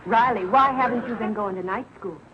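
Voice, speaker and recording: A woman playing a sharp, suspicious housewife and mother in a 1940s television comedy, pointed and sarcastic in manner. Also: on the high side, brisk, crowd noise, natural voice. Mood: scolding. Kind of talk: 1940s television sitcom acting. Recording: muffled, noisy old 1940s TV soundtrack.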